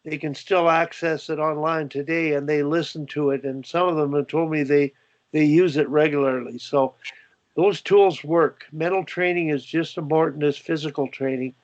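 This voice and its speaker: Older man, Canadian accent, host, rambling with fillers, slightly high voice, dry muffled call audio. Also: fairly even intonation, normal speed, no echo. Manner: rambling, many fillers and restarts. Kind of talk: video call panel discussion.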